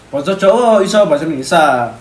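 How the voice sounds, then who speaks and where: A man's voice: a little high, lively intonation, quick pace. A young man with a Malang Javanese accent, joking in casual slangy chat as a student podcast co-host. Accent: East Javanese, Malang. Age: young adult. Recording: echoey room, faint music.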